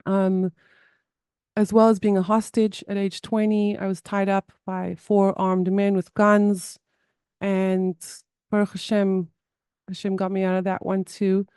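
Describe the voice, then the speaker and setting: South African woman, smooth dry voice. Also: mid-range voice, level tone, unhurried, dark tone, dry recording. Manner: earnest, with frequent restarts and repeated words. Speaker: guest, somatic therapist. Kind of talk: conversational interview.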